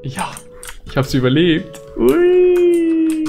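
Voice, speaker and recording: A man's voice: extremely high pitch, wildly swooping pitch, very slow, gravelly, mellow. A man with a cold chatting casually while playing a video game, joking in a mock-formal way. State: has a cold. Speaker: Let's Play co-host. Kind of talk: casual gaming chat. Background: faint game music and keyboard typing.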